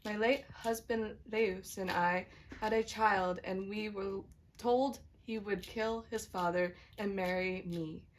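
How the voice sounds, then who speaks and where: Woman playing a reassuring queen, medium pitch. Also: steady tone, slow pace, slight background noise, natural voice, low-quality recording. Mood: storytelling. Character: reassuring queen, soothing yet chiding wife. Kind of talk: amateur puppet-show acting.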